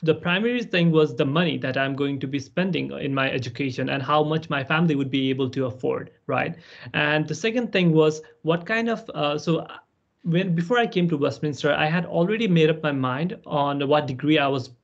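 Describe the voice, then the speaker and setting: A man's voice: fairly high pitch, a little fast, creaky. A man in his twenties from Nepal, speaking as an alumni panelist on a video call and giving casual advice with many "like" and "right?" tags. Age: 20s. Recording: muffled video call audio.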